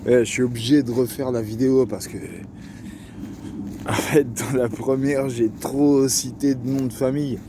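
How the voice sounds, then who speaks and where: A man's voice: medium pitch, steady tone, moderate pace, noisy background. A young man with a Parisian accent telling a personal story to camera, slangy and joking, with lots of fillers. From Paris region. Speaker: vlogger.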